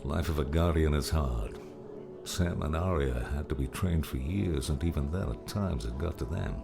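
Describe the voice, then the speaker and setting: An actor playing a weary, kindly older mentor and veteran guardian in an audiobook drama, with a gravelly voice. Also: male voice, a bass voice, moderate pace. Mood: weary, consoling. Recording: music underneath.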